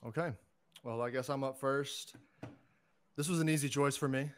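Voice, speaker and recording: A man's voice: mid-range voice, fairly even intonation, moderate pace, a little hiss or hum. Casual man on a podcast panel. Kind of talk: casual chat.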